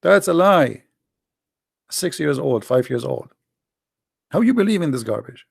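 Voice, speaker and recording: A man speaks straight to his viewers in a blunt, emphatic livestream monologue, preaching as a daily broadcast host. His voice has a gravelly rasp. The sound is dry and close, with no room echo. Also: fairly high pitch, sing-song, a little slow. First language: Arabic.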